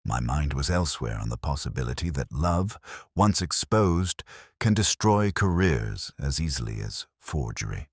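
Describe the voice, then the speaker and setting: Man acting older English detective, very deep voice, dry close sound, measured literary narration, brooding, audio drama. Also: slightly rough, no room sound, full character voice.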